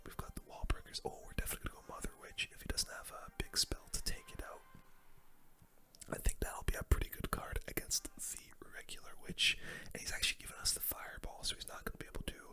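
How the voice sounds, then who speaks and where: A man giving casual, chatty ASMR gameplay commentary in a breathy, unvoiced whisper, talking to viewers as a gaming video host. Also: bright, forceful tone.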